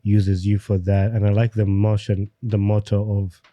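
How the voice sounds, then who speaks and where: Very dark-voiced male podcast host. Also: lowish voice, completely flat delivery, no echo. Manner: supportive, frequent short affirmations, halting restarts. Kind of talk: casual interview chat.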